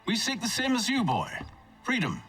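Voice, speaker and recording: Casting: commanding, sardonic villain, bright forceful tone, hugely swooping delivery, game cutscene. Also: male voice, sits high, huge pitch swings, normal speed, slightly rough, far from the mic, soft background music, heavily acted. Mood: scornful. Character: haughty, scornful mature male villain, sardonic and commanding. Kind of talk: dramatic video game voice acting.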